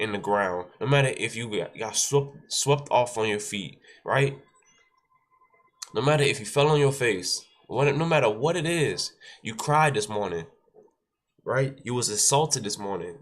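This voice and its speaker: A man's voice: mid-range voice, fairly bright tone. A man with a stuffy nose hosting a day-trading livestream, repeating himself and talking straight to his chat. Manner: repetitive, exhorting, addresses chat directly. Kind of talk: casual livestream talk with a short devotional.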